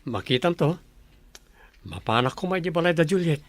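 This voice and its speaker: A man with a Filipino accent playing a sensible, reluctant young bachelor in a village comedy radio drama, bantering in a casual, measured way. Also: a little high, expressive, close to an everyday voice. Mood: casual, teasing.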